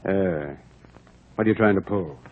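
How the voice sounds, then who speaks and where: A man's voice: sits low, moderate pace. A man playing a smug, menacing gangster boss in an old radio play, courteous one moment and threatening the next. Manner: smooth courtesy turning to hard threats. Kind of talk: 1940s radio acting. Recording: tinny, muffled old radio recording.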